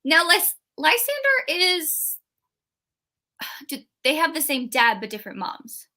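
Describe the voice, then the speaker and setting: A woman's voice: sits high, huge pitch swings, slow pace, pushed and bright. A woman co-hosting a live book discussion, talking casually and rambling with many fillers and restarts. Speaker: book club co-host.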